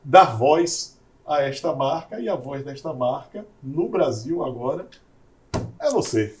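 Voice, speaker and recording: A man's voice: on the high side, expressive, unhurried, darkish tone, slight background noise. A man co-hosting an interview, speaking in an effusive, emphatic way. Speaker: co-host. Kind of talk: casual interview chat.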